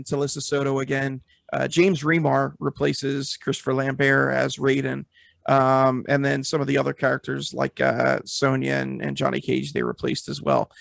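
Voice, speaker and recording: A man's voice: medium pitch, gravelly, no echo. A man hosting a casual film review chat, speaking in an opinionated, emphatic way. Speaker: podcast host.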